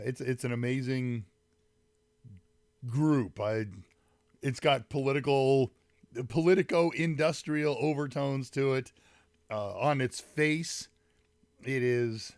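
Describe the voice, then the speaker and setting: A man's voice: mid-range voice, no room sound. Man hosting a tech livestream with a cold. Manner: rambling, greets chat viewers by name, frequent fillers. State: slightly deep, congested voice from a summer cold. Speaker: livestream host. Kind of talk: casual live-stream chat with viewers. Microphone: mic set some distance away.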